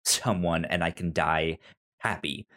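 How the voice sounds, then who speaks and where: Slow-paced man with a stutter. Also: low voice, fairly bright tone. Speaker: podcast host. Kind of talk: casual TV discussion chat.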